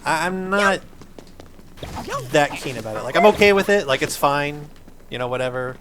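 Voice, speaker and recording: A man's voice: fairly high pitch, animated, normal speed, a crowd in the background. A man hosting a gaming video, explaining things patiently in a playful, conversational way. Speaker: host of a family gaming video. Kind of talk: casual chat over gameplay. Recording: game music behind the voice. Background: video game music.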